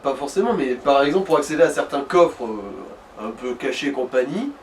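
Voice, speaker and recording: A man's voice: mid-range voice, lively intonation, a little fast. A man giving casual gaming explanations to a player, piecing them together hesitantly as he goes. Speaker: advisor to the player in a let's play. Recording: distant mic, faint background noise.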